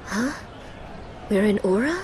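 Woman acting game warrior, wry, weary, dry, casual, mid pitch, noisy ambience. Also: lively intonation, unhurried, a little mellow, natural voice. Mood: wry, wary.

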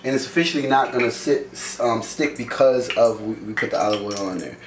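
A man's voice: mid-range voice, a little fast. A man in his thirties hosting a casual home cooking show, joking and swearing loosely as he cooks. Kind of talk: casual cooking demo with banter. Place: small home kitchen. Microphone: camera mic from across the room. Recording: echoey kitchen, distant mic, noisy.

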